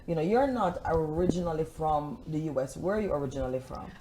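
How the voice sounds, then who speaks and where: A woman's voice: low-pitched, lively intonation, fast, noisy background. A woman hosting a talk show, interviewing a guest and emphatically repeating words for emphasis.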